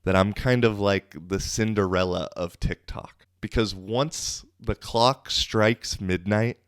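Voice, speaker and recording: Young male podcast host, low-voiced and dry-miked, loose profane storyteller. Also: takes it slowly, no echo. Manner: loose, profane, rambling with many fillers. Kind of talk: casual storytelling monologue.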